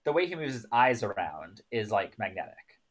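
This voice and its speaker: A man's voice: on the low side, big pitch swings, normal speed, rough recording. A man co-hosting a podcast, talking through his analysis casually and thoughtfully, often hesitating and rephrasing. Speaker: podcast co-host.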